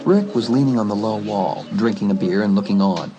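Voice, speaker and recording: A man's voice: on the low side, brisk, lo-fi sound. A man reading scripted fiction as a dramatic audiobook narrator. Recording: music under the voice. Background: music.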